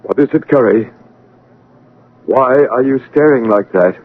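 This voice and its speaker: An actor playing a calm, commanding guide between life and death, measured and authoritative, in an old-time radio drama. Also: male voice, medium pitch, close to an everyday voice. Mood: calm, urging. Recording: narrow-band, noisy old radio broadcast.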